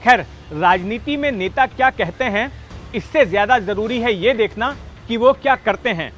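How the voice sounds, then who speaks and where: Male political explainer narrator, high register, emphatic scripted storytelling, light music underneath. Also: expressive, normal speed, fairly bright tone. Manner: emphatic storytelling with rhetorical questions. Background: background music.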